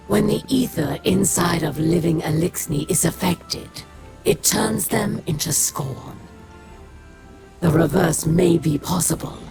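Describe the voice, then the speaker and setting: An actor playing a grave, weary alien leader in a video game, speaking in a measured, solemn way. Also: male voice, a little high, creaky, heavily acted. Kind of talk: video game cutscene narration. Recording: loud music under the voice.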